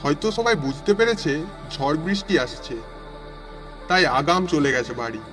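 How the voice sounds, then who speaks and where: A man's voice: a little high, big pitch swings, normal speed, over music. A man voicing a village traveller who narrates his suspenseful walk home as a dramatic first-person audio story. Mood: measured. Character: male villager walking home through a storm, narrating.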